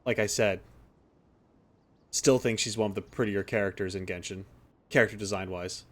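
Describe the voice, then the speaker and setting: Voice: deep voice, fairly even intonation, moderate pace. A streamer giving casual, reactive game commentary in a blunt, sarcastic way, swearing and talking back at the characters. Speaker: gaming streamer.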